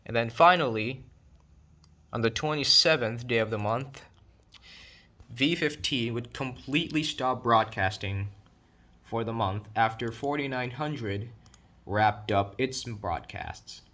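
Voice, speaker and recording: A man's voice: mid-range voice, slow pace, sharp tone. A man narrating an explanatory online video in a documentary style, with casual, conversational asides.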